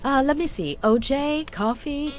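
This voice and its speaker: A woman's voice: sits high, unhurried. Anxious, polite noir lady, music behind. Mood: flustered, hospitable. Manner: polite, earnest, apologetic. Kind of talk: dramatic game dialogue.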